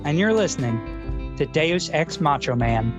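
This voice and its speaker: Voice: medium pitch, animated, a little slow, over music, lightly acted. A performer playing an earnest, self-serious male public radio host who speaks in a pensive, pompous, awkwardly polite way, as in a scripted feature parody.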